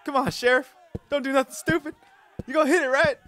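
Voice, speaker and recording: An actor playing a whining, cowardly saloon lowlife in a Western audio play, defensive and pleading. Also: male voice, steady tone, fast, fairly bright tone. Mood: panicky. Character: scared, whining lowlife barroom brute, defiant but cowardly.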